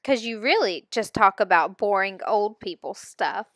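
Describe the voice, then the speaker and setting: A woman's voice: mid-range voice, expressive, moderate pace, a bit bright, dry recording, studio quality. A woman voicing a spirited, outspoken young teenage girl in a storybook, direct and insistent. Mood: joking.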